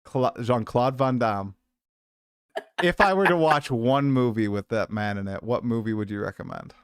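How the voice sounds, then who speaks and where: A man's voice: mid-range voice, normal speed, smooth, no echo, clean studio sound. A man in his late twenties hosting a casual livestream, chatty and self-deprecating, coming down with a cold. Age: 20s. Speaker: streamer host.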